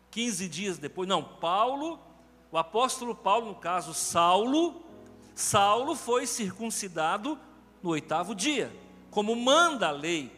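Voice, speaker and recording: A Brazilian pastor with a high voice that swings widely as he preaches, pressing his points with animated emphasis. His voice carries a long reverb through a large church sanctuary. Faint music hums underneath. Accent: Brazilian Portuguese. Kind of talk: sermon.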